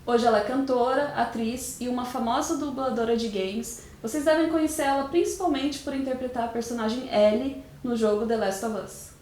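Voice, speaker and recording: A Brazilian woman with a voice a little on the high side. She speaks as an interview co-host, introducing and questioning in an informal, conversational way with a Brazilian accent. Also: brisk, few pauses, darkish tone, a little hiss or hum. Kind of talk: casual hosted interview.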